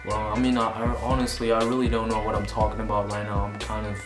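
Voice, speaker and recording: A man's voice: on the low side, completely flat delivery, fast talker. A man in his twenties, born in Vietnam, rambling casually to a camera about music, self-conscious and swearing now and then. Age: 20s. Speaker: vlogger and musician. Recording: roomy sound with music underneath.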